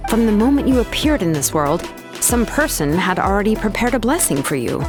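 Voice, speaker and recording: A woman's voice: medium pitch, big pitch swings, brisk, music in the background, lightly acted. A woman voicing an easygoing female artisan and name engraver in a video game, a chatty storyteller who drops casual fillers.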